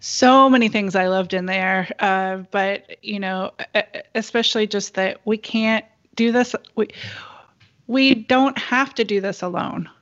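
A woman hosting an interview, speaking haltingly and repeating words as she frames her questions. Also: medium pitch, fairly bright tone, lo-fi sound. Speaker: interviewer host.

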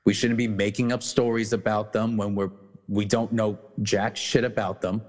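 A man co-hosting a casual podcast, talking bluntly with many restarts and swearing, his voice going hoarse. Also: lowish voice, level tone, moderate pace, darkish tone, in a large hall. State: losing his voice. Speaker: podcast co-host.

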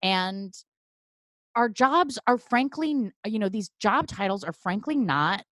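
A woman's voice: mid-range voice, expressive, unhurried, fairly bright tone, dry recording, studio quality. A woman hosting a casual chat in a thoughtful, wry way with many restarts, over a video call.

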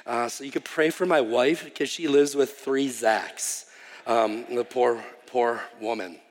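A man's voice: medium pitch, a little slow. A man from Wisconsin in his thirties, a missionary guest preacher giving a chatty, self-deprecating sermon introduction with frequent ums and restarts. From Wisconsin, USA. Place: church sanctuary. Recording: live church hall reverb.

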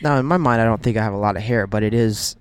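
A man's voice: fairly low pitch, fast talker, clean tone, dry recording. A young American man co-hosting a podcast, chatting casually and playfully as he prompts and interjects. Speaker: podcast co-host. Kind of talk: casual banter.

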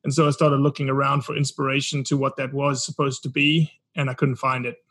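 Earnest South African man, flat delivery. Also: medium pitch, brisk. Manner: earnest, reflective storytelling. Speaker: podcast guest, documentary founder. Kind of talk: casual interview talk.

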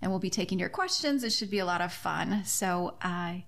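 A woman's voice: lowish voice, animated, normal speed, gravelly, a bit bright. A woman hosting a podcast in a conversational style, speaking earnestly and effusively. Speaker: podcast host and author.

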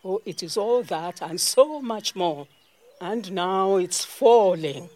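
Ancient female tree spirit, Nigerian accent, audio drama. Motherly, stern, unhurried and reproachful, mid-pitched. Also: animated, background noise. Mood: knowing.

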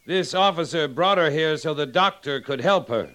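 An actor playing a stern, steady cavalry captain in a radio western, speaking with formal, measured authority. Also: male voice, fairly high pitch. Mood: calm, firm. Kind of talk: old radio western acting.

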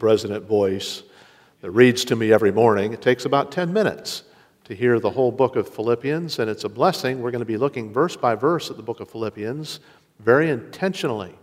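A man preaching a sermon as pastor. He speaks earnestly and slows down to weigh his key words. His mid-pitched voice rings out in a large hall with a long reverb. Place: church sanctuary.

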